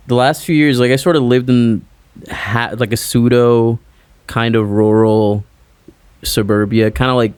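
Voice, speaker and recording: A man's voice: on the low side, darkish tone, no echo. Rambling young man from Puerto Rico. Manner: loose, rambling, heavy on "like" fillers. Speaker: musician guest. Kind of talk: casual interview chat.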